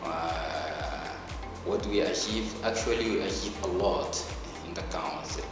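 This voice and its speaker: A young man giving a formal introductory speech as a student council president, halting and pausing between short phrases. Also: sits low. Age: young adult. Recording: echoey hall, music behind.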